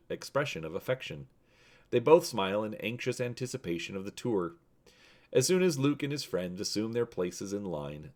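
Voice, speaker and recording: A man's voice: fairly low pitch, a little mellow. A man reading an adventure gamebook aloud as narrator and host, in a dramatic storytelling style.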